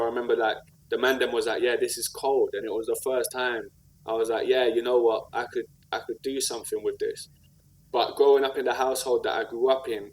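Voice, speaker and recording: Man, South London accent, mid-pitched voice, earnest, slangy, reflective interview storytelling. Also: darkish tone, rough recording. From South London, England. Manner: earnest, slangy, with repeated "like" and "you know what I mean". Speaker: podcast guest, rapper and community activist.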